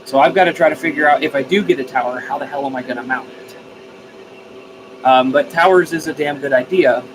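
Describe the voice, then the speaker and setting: A man with a slightly high voice talks informally to his live stream viewers. He circles back on his own words as he works out answers to their comments. A clear bed of background noise sits behind him. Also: brisk. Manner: hesitant, repeats and restarts phrases as he thinks aloud. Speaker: live streamer, radio hobbyist.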